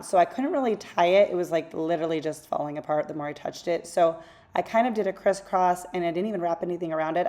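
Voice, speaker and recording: A woman hosting a craft channel, talking through a tutorial in a casual, rambling voice-over; her voice is a little raspy from losing it. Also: lowish voice, darkish tone. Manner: chatty, rambling, self-correcting asides.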